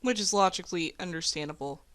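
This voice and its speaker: A woman's voice: on the low side, fast, fairly bright tone. Encouraging young-slang woman chatting casually. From United States. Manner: encouraging, informal. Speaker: video host, amateur voice actor. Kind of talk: casual chat.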